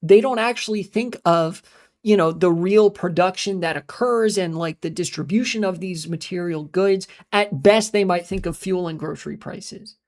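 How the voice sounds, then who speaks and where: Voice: mid-range voice, normal speed. An American live streamer in a casual, rambling monologue who swears often, trails off into filler sounds and answers chat messages. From United States.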